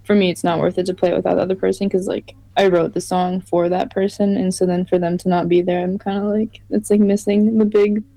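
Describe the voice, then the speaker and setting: Mellow young woman musician, flat delivery. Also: fairly low pitch, a little fast, gravelly, hardly any pauses, no room sound. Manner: relaxed, filler-heavy with frequent "like". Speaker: musician guest. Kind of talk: casual interview answers.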